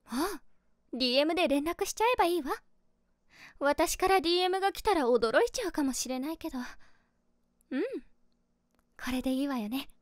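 A woman playing a caring young female streamer who is secretly smitten, musing gently in a feminine way in a voice drama. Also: high-pitched, lively intonation, fairly quick, long pauses, no echo, studio quality. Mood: relieved, fond. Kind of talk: Japanese voice drama monologue.

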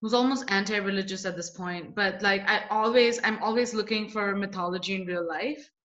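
A young woman interviewer asking searching, halting questions full of fillers, heard over a video call. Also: medium pitch, a bit bright, echoey room. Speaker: interviewer, poet. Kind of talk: casual interview. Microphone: laptop webcam on video call. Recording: dull lo-fi video call audio.

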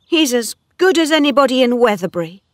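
A woman's voice: a little high, sing-song, unhurried, fairly bright tone, no room sound, clean studio sound, voice pushed up. A woman voicing a proud, headstrong, lovestruck young mistress of a farm, emotional and insistent, as storybook dialogue. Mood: indignant.

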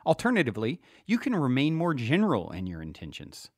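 Expressive man narrating a self-help audiobook. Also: mid-range voice, fairly quick, no echo, clean studio sound. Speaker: podcast host reading his own self-help book. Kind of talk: scripted audiobook narration, guided instruction.

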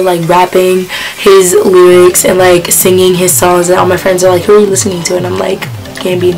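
A teenage girl vlogging from her bedroom, talking about her playlist in a chatty, rambling way. Her voice sounds hoarse because she has lost it. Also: medium pitch, low-quality recording. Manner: chatty, rambling, with many fillers. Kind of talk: casual chat about a music playlist. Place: small bedroom, indoors.

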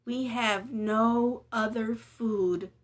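Woman doing a sad, soft-spoken poor widow. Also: on the high side, steady tone, drawn-out words. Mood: sorrowful. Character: sad, poor widow mother, kind and weary.

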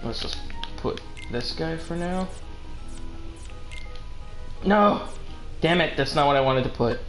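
A man's voice: a little high, slow pace, fairly bright tone. Casual, sweary man streaming in echoey room. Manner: casually profane. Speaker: game streamer. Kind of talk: casual gaming chat with co-host. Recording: roomy echo, music behind. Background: video game music.

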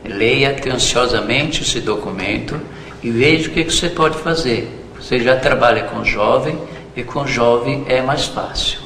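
A man plays an authoritative Brazilian bishop in a radio drama. His mid-pitched voice is measured and calm, echoing in a slightly noisy hall. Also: creaky. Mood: calm, instructing. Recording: echoey hall with background noise.

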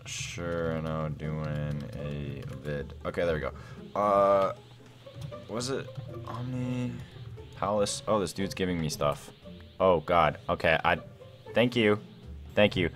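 A young man giving excited, casual gameplay commentary, rambling and thinking aloud in slangy exclamations. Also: sits low, measured pace, soft background music. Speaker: gaming video host.

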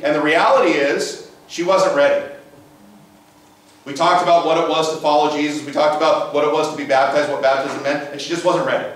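A man's voice: on the high side, a bit bright. A middle-aged man with a big voice, preaching a conversational sermon in a church sanctuary and asking rhetorical questions, recorded by a camera at a distance. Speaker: pastor. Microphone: far camera, room mic. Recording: echoey church sanctuary, mic from afar.

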